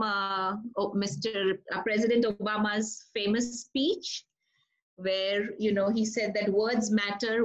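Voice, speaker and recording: A woman's voice: medium pitch, dull audio. Woman leading an online writing workshop. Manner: conversational, asks the group questions.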